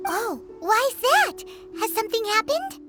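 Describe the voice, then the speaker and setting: A woman voicing a tiny, cheeky, childlike fairy companion in a video game, with a squeaky, perky delivery. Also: very animated, a little slow, over loud music, heavily acted. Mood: curious. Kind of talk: English video game voice acting.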